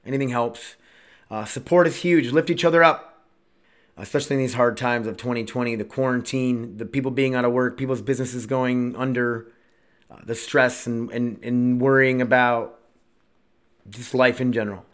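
A man in his late thirties talks through his own podcast alone. His voice sits in an ordinary middle pitch. He wanders from thought to thought, restarts his sentences and drops curse words casually. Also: steady tone, normal speed, clean tone. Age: 30s. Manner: rambling, profane, self-interrupting. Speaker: podcast host.

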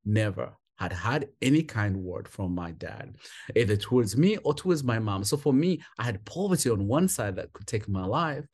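A man's voice: lowish voice, lively intonation, moderate pace, darkish tone, slightly rough. A man from Uganda, a guest on a podcast, telling his life story in an emphatic, heartfelt way. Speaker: guest, foster parent. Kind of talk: interview storytelling.

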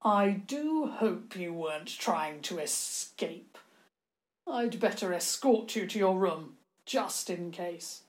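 A woman voicing a cruel older lord in a storybook, sarcastic and quietly threatening. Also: mid-range voice, a little slow, lightly acted. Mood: smug, soft threat. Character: cruel, sneering lord, menacing older uncle.

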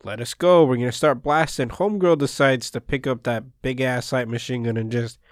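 A man whose first language is Spanish co-hosts a relaxed anime podcast in English. He recaps episodes in a loose, wandering way. His mid-pitched voice is recorded close and dry. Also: normal speed, no echo. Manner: laid-back, rambling plot recaps. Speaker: podcast co-host. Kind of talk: casual anime discussion.

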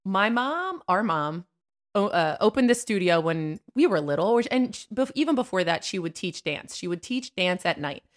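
A woman's voice: mid-range voice, very animated, moderate pace. A woman in her thirties chatting and telling stories casually as a podcast co-host, in a playful, teasing way. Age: 30s. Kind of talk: casual chat and storytelling.

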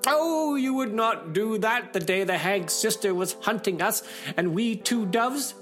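Man putting on a high clucking, scolding hen. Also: expressive, unhurried, fairly bright tone, faint music behind. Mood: reproachful. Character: reproachful enchanted female hen, clucking and indignant.

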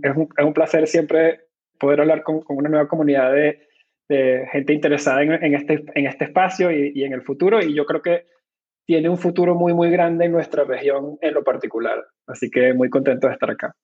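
A man's voice: fairly high pitch, steady tone, a little fast, husky, narrow, tinny audio. A man from Venezuela with a Venezuelan accent, a company cofounder explaining things at length in an interview, with many fillers and restarts. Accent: Venezuelan Spanish. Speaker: guest, crypto company cofounder. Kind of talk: conversational interview answers.

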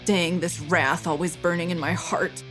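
A woman's voice: on the low side, steady tone, moderate pace, fairly bright tone, faint music behind, close to an everyday voice. Woman as a fierce, wrathful preteen girl. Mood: simmering anger. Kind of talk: dramatized horror-comedy storytelling.

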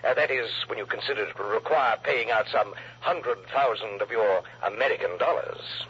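A man's voice: lowish voice, moderate pace, breathy edge. A man with a British accent in a 1960s radio drama, playing a fussy, long-winded, polite insurance manager who talks in a hesitant, formal, roundabout way. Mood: flustered, hesitant. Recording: dull old radio recording.

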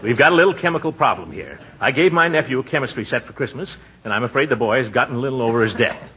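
A pompous small-town uncle blusters through an old 1940s radio comedy. His bright, forceful voice swoops up and down as he frets and exclaims. The recording is muffled, with a faint layer of noise. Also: mid-range voice, expressive, very fast. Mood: worried, sheepish. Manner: blustering, exclaiming, theatrical hems and chuckles. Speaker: lead actor in a radio comedy. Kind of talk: 1940s radio sitcom acting.

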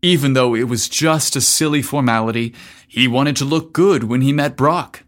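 A man narrating scripted fiction in an expressive storytelling manner. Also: medium pitch, moderate pace. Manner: expressive storytelling, acts out dialogue.

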